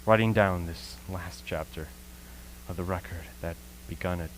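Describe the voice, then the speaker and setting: Young actor as low-voiced, solemn scholar survivor. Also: male voice, natural voice, rough recording. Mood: reflective, wistful. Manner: solemn, deliberate, with dramatic emphasis. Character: solemn male scholar recounting surviving a Martian invasion. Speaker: actor in a school radio play. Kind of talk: dramatic radio play monologue. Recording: faint hum and noise, live stream.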